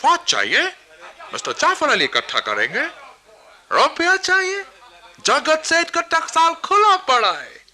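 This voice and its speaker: A man's voice: very high voice, wildly swooping pitch, unhurried, bright, forceful tone, full character voice. A man playing a scheming British colonial trader in a radio drama, speaking broken Hindi with an English accent and English phrases in a declamatory, persuasive way. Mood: persuasive, flattering. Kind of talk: theatrical radio drama acting.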